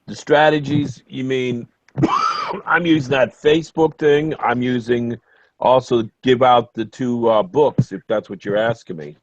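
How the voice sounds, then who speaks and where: A man's voice: mid-range voice, a little slow, no echo. A man who has joined a webinar call, talking in a rambling, hesitant way with lots of fillers. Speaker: webinar participant. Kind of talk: casual call-in chat. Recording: dry, dull online call audio.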